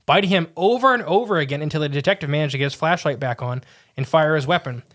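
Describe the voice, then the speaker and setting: A man's voice: a little high, fast, fairly bright tone. A man co-hosting a podcast, reading a strange tale aloud with casual asides, often tripping over words and restarting. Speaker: podcast co-host.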